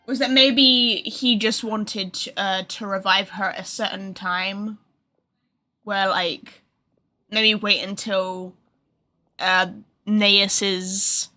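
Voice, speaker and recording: A woman's voice: mid-range voice, fairly even intonation, slow, sharp tone, faint music behind. A woman streamer giving casual livestream commentary, rambling and speculating, often trailing off mid-thought.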